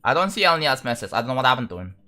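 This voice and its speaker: A young-sounding man chats live to his viewers while he works on a game level. His voice is bright and forward, at an everyday pitch. He rambles in loose English, filling gaps with "like" and starting sentences over. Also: sing-song, racing. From Indonesia. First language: Indonesian. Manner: rambling, full of "like" and restarts, talking to chat. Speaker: streamer.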